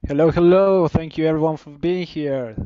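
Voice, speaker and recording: A man's voice: a little high, warm tone, no room sound, highs cut off. Man in his thirties telling his story. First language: Spanish. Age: 30s. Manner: earnest, halting, with restarts. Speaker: team member sharing his story. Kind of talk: personal story on a live stream.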